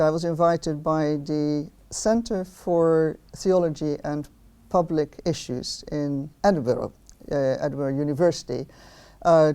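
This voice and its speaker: Hesitant Dutch-accented woman, low mellow voice. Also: clean tone. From Netherlands. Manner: hesitant, lots of ums and restarts. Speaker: philosopher of art, interviewer. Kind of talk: public talk with many fillers. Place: outdoor garden event.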